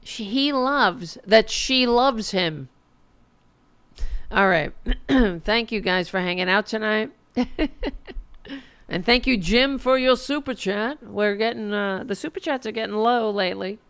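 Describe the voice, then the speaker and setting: A woman's voice: mid-range voice, a little slow, long pauses, a bit bright, smooth. A woman hosting a casual political livestream, sarcastic and profane, who is losing her voice. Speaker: political commentary host.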